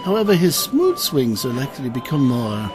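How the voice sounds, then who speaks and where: Fussy old-school scholar played by a man, audio drama; hesitant, courteous, very animated pitch, over background music. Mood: hesitant, thoughtful. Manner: halting, polite, bookish, many uhs. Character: fussy, hesitant scholarly male sage and alchemist. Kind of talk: audio drama character acting. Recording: music behind the voice.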